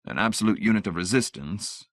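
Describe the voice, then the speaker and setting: A blunt, irritated electronics man, read by a male narrator with a lowish, dry voice. He speaks bluntly and challenges others head-on, his skepticism always close to the surface. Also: animated, fairly quick, a bit bright, dry recording. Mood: exasperated. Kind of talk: audiobook character voice.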